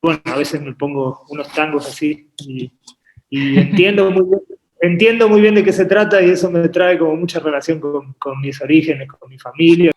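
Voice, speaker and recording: A man from Uruguay raised in Argentina, talking about his work as a painter on a video call, often pausing and repeating words as he thinks. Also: a little high, fairly even intonation. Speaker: painter and artist guest. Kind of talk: relaxed interview conversation. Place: home painting studio. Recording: echoey room on a video call.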